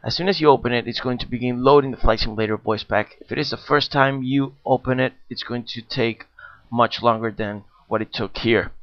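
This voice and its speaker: Male tutorial narrator, steady mid-range voice, casual filler-laden walkthrough, dull home-mic sound. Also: mid-range voice, fairly quick. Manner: plain explanatory, frequent uh fillers. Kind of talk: screen-recorded software walkthrough.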